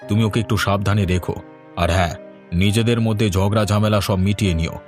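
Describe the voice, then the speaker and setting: A man's voice: fairly low pitch, fairly even intonation, quick pace, faint music behind, natural voice. A man voicing a mild, inquisitive village man in a cartoon, with a questioning, conversational manner. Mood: concerned. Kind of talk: cartoon voice acting.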